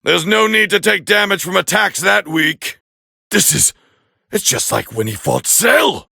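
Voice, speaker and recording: A man voicing a proud, scornful alien warrior prince as battle commentary in an anime fighting game, with a harsh, gravelly growl and a haughty, clipped delivery. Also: high voice, lively intonation, unhurried, sharp tone, dry recording, studio quality, heavily acted. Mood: grudgingly impressed.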